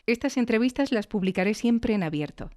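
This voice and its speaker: A woman's voice: on the high side, expressive, fairly quick, darkish tone. A woman with an accent from Spain, presenting and interviewing on a podcast in a conversational, lightly scripted style.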